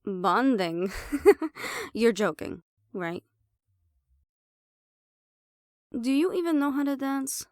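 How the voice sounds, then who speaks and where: A woman's voice: a little high, very animated, slow, dry recording, studio quality. A woman playing a sly, defiant half-banshee siren in an audio roleplay, sarcastic and playful as she mocks the listener. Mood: skeptical, teasing.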